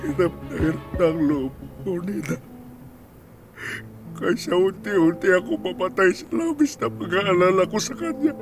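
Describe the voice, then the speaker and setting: Man acting a despairing old Filipino grandfather, high-pitched. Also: expressive, moderate pace, music in the background. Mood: anguished, despairing. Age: older. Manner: pleading, melodramatic. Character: weary, despairing grandfather begging to end his suffering. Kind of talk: Filipino radio drama acting.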